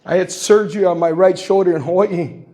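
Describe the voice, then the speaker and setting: A man in his sixties from Guam, with a noticeably high voice, addresses a public forum. He tells stories in a plain, earnest way and acts out the voices of the people he quotes. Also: fairly even intonation, brisk, mellow. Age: 60s. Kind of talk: impassioned public comment. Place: public meeting hall.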